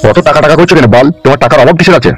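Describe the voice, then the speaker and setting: A man's voice: a little high, racing, over loud music, close to an everyday voice. A man voicing a lecherous, corrupt politician, crude and wheedling, in a comedy cartoon. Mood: defensive, irritated.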